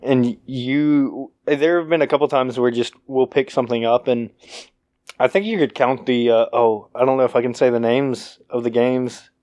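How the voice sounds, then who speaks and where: A man's voice: medium pitch, moderate pace, a little mellow, no room sound. Rambling young man, very dry sound. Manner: rambling, full of fillers and restarts. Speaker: podcast co-host. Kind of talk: casual chat.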